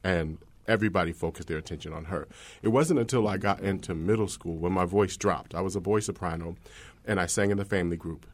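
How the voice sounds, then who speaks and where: A man's voice: low voice, fast talker. A man, an opera singer, telling stories from his youth in an earnest, conversational way on a panel. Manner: earnest storytelling.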